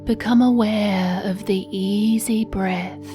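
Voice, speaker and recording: A woman guiding a sleep meditation from a script in a soothing, hushed, breathy way, with long pauses. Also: medium pitch, fairly even intonation, over music. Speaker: meditation guide.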